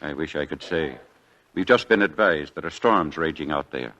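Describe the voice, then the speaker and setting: A man acting a grave, regretful hospital doctor in a 1940s radio drama, measured and hesitant. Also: a bass voice, lively intonation, pushed and bright, exaggerated voice. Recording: dull vintage 1940s radio recording.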